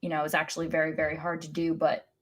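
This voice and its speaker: A woman with a fairly low voice discusses soccer as a podcast co-host. She is conversational and descriptive, feeling for words as she goes. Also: monotone, quick pace, slight background noise.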